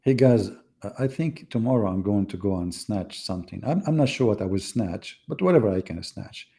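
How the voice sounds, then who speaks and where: Low, gravelly, sarcastic man. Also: animated, a little fast, dark tone. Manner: sarcastic, teasing, rhetorical questions. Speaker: host of live religious debate. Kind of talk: mocking casual live commentary.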